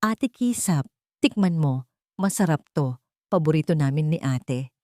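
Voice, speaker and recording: A woman's voice: sits low, animated, unhurried, no room sound, clean studio sound. A woman voicing a polite, eager younger sister from the province with a Filipino accent in a story. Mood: cheerful, polite. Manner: eager, respectful, gentle.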